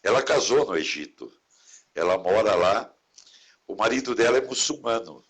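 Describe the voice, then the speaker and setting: Low, husky-voiced priest. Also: male voice, lively intonation, moderate pace. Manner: warm, reflective, preachy asides about caring for others. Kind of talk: casual conversation in a live stream.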